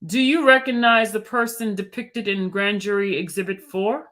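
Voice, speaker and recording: A woman reading a male prosecutor's questions aloud in a formal, measured manner. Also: mid-range voice, steady tone, a bit bright, lightly acted.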